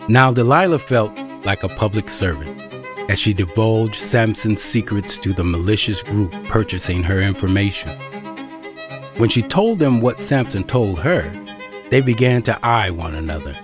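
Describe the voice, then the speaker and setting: Low-voiced male narrator over string music. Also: normal speed. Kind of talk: scripted dramatic storytelling narration. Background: violin and string music.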